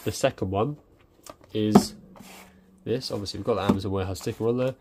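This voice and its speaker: A man's voice: fairly low pitch, brisk, heavy background noise. A young man talking casually through an unboxing at his desk, hesitant with many ums and restarts. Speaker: tech reviewer. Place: indoors at a desk.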